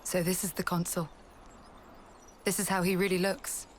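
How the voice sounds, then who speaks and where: A woman playing a calm, grave, formally spoken character in a video game cutscene, with a subdued manner. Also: fairly low pitch, moderate pace, background noise, lightly acted.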